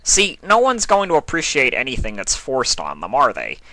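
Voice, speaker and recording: A man's voice: medium pitch, wildly swooping pitch, normal speed, sharp tone, voice pushed up, heavily acted. A man voicing a mischievous, smug god of chaos in a storybook reading, with a mocking, theatrical lecturing manner.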